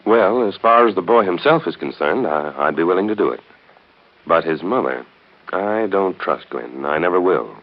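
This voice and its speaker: An actor playing an earnest, distrustful suitor with halting delivery in a 1930s radio soap opera. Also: male voice, low-pitched, lively intonation. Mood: wary, resolute. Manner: halting, repeats opening words. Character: earnest, hesitant, distrustful man, devoted suitor. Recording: tinny, band-limited 1930s radio broadcast.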